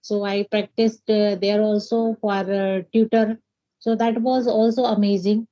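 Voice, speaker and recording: A woman from India, speaking English, pauses often and restarts her sentences. Her tone is dark and mellow. The audio sounds muffled, like an online call. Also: medium pitch, level tone. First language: Hindi. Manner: hesitant, many fillers and restarts. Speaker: English learner, homemaker. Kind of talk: casual conversation practice.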